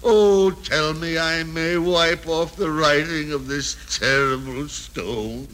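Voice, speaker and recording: An actor playing an excitable, stammering old miser in a vintage radio drama, with theatrical delivery. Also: male voice, a little high, slow pace. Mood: anguished pleading. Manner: theatrical, stammering exclamations and repetitions. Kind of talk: 1930s-40s radio drama acting. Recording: old radio recording with music behind.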